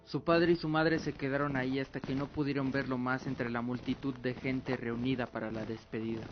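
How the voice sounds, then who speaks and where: A man's voice: mid-range voice, flat delivery, normal speed, highs cut off, soft background music. A man reading scripted dramatic narration as the storyteller of an audio drama.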